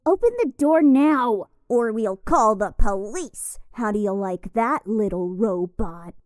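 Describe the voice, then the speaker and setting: Woman as a slow, menacing pursuer. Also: medium pitch, darkish tone, no echo, clean studio sound, lightly acted. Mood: threatening. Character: menacing pursuer demanding entry. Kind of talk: children's audio drama acting.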